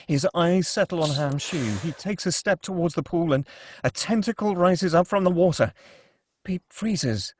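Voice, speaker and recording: A man with a husky voice reading scripted screenplay action as the narrator of a table read. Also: on the high side, moderate pace, a little hiss or hum.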